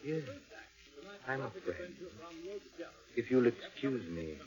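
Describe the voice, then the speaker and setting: An actor playing a strange, frightening man, cold and measured, in a 1940s radio drama on a dull old recording. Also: mid-range voice, very animated, crowd noise. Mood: calm, menacing.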